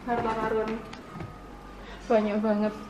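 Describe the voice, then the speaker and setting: Young adult woman, high voice, playful casual vlogger, picked up by a distant camera mic. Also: monotone, takes it slowly. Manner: informal, playful, moving around as she talks. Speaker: vlogger giving a house tour. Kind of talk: casual house-tour vlog chat. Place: indoors, rooms of a house. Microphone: handheld camera mic at some distance. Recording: echoey rooms, distant camera mic, noisy.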